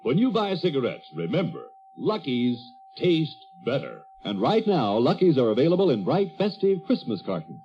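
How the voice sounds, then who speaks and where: A man reading a 1940s radio advertisement as an announcer, with an emphatic, deliberate, husky sales delivery. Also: mid-range voice, huge pitch swings. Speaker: commercial announcer. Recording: dull old 1940s radio recording.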